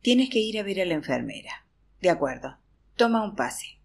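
Husky woman reading an audiobook aloud. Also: on the low side, very animated, normal speed. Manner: acts out a weary teacher's classroom chatter. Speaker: audiobook narrator.

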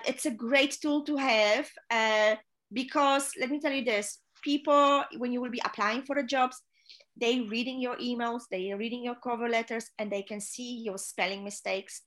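A woman's voice: a little high, steady tone, moderate pace, a bit bright. Polish woman giving earnest career advice. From Poland. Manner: earnest, direct advice to listeners, frequent filler sounds. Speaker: chief stewardess, interview guest. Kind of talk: casual advice in an interview.

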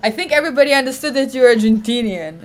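A woman hosting a casual chat, laughing as she steers the conversation back. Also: sits high. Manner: laughs while steering the talk. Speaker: host.

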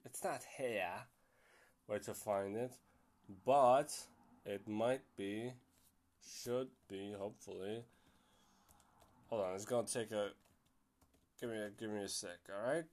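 A man's voice: fairly low pitch, takes it slowly, long pauses, a little mellow. Rambling young male podcast host. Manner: rambling, swears casually, many fillers. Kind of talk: casual solo podcast chat.

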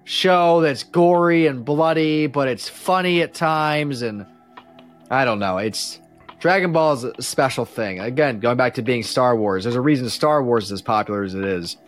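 A man in his thirties with a medium-pitched voice. He chats casually and earnestly on a podcast, full of fan opinions, with music in the background. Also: normal speed. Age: 30s.

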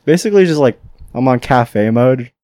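Brief: young man, mid-pitched, monotone, slangy and casual, dry close mic. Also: moderate pace, no room sound. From Philippines. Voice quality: flat monotone delivery. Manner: laid-back slangy talk, frequent swearing. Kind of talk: casual chat ranking fruits.

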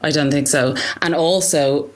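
A young woman, an artist, answering interview questions in a reflective, halting way with many fillers and restarts. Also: deep voice. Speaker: artist guest.

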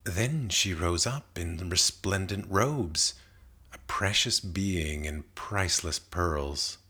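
A man reading a narrative poem aloud as a scripted literary recitation, in a measured and carefully enunciated manner. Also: low-pitched, sharp tone, breathy edge. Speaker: poetry reader.

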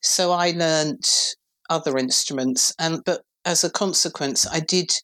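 Deep-voiced English woman in her fifties. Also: a little slow, a bit bright. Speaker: podcast guest, PR director. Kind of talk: relaxed conversational interview.

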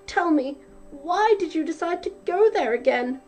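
A woman's voice: very high-pitched, animated, moderate pace, a little mellow, faint music behind, voice pushed up. A woman voicing a boy's anxious, loving mother who pleads tenderly. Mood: worried.

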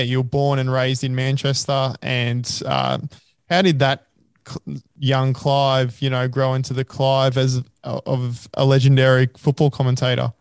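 A man's voice: medium pitch, clean tone, dry recording. A man interviewing a guest, asking casual questions and laughing along with short encouraging replies.

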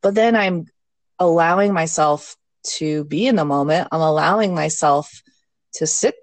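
A woman with a lowish voice joins a friendly talk about mindfulness practice. She is candid and easygoing and laughs easily. The recording is dry, with no room sound. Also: unhurried, dry recording. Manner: laughs often, casual and candid. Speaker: podcast guest, mindfulness teacher. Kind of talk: casual conversation.

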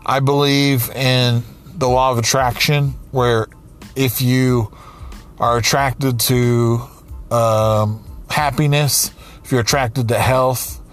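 A man around forty speaking slowly and candidly, pausing and restarting as he thinks aloud. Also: medium pitch, steady tone, faint music behind. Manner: slow, halting, with many restarts and pauses. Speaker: aspiring writer, comedian and podcaster. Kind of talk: reflective personal monologue.

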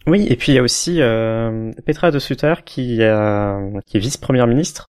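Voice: medium pitch, moderate pace, darkish tone, smooth. A podcast host in casual chat, introducing guests in a playful way and laughing often.

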